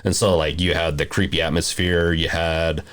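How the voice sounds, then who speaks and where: A man hosting a casual movie discussion podcast, talking in a halting, rambling way and often rephrasing mid-sentence. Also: extremely low pitch, level tone, fairly bright tone, smooth. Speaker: podcast host.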